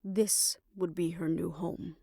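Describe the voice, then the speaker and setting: A woman playing a tender, world-weary lover in a fantasy audio roleplay, speaking in a measured, reflective and intimate way. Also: fairly low pitch, fairly even intonation, vocal fry, husky, no echo, clean studio sound, full character voice. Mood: measured, resigned. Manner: measured, reflective, formal phrasing, soft hushed moments. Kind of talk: romantic audio roleplay, intimate scripted monologue.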